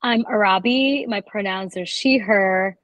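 A woman's voice: medium pitch, measured pace, fairly bright tone, no echo. A young adult woman from Bangladesh, a guest telling her story in a thoughtful, earnest way. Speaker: guest, immigrant rights advocate. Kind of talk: casual interview.

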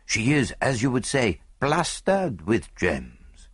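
Old Belgian detective, played by a male narrator: French accent, formal, placid, gently amused, lively pitch, storybook mystery. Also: slow pace, husky, dry recording, clean studio sound, exaggerated voice. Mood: placid, musing. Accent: French-speaking foreign. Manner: formal, quaint phrasing with small murmured asides.

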